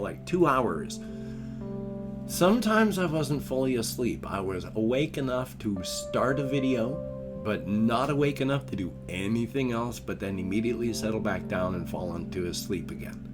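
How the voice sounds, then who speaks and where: An older man with a nasal, congested voice, wheezy from a cold, rambling in a casual solo vlog at home, with dry self-mocking asides. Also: mid-range voice. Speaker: vlogger. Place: at home in his chair. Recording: guitar music in background.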